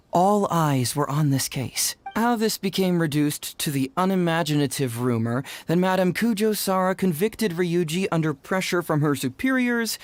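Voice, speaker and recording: A man's voice: fairly high pitch, no room sound, lightly acted. A young man playing a clever, playful, self-assured detective in a video game, articulate and teasing. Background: game music.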